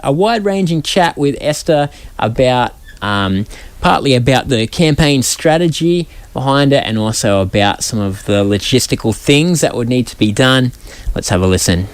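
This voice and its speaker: A man hosting a community radio interview, relaxed and hesitant, with many fillers. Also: medium pitch, dry recording. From Australia. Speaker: radio show host.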